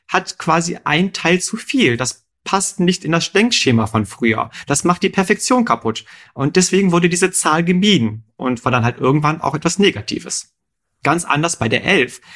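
Ein Mann aus dem Rheinland, der als Co-Moderator im Podcast locker etwas erklärt und seinem Gesprächspartner geduldig Rechenfragen stellt. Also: male voice, fairly high pitch, fairly bright tone. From Rhineland, Germany. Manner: patient, quizzes his co-host with questions. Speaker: podcast co-host explaining numbers. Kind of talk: explanatory conversational chat.

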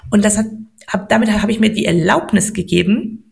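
A middle-aged woman with a gravelly edge to her voice talks about money beliefs on a podcast. She speaks earnestly and warmly encourages the listener, and she slows right down on the words that matter. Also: medium pitch. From Poland. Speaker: podcast guest, money coach. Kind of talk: motivational podcast talk.

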